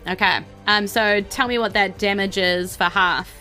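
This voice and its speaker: Game master, woman. Bright, conversational narration of a fantasy tabletop game, light music underneath. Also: medium pitch, steady tone, normal speed. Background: faint background music.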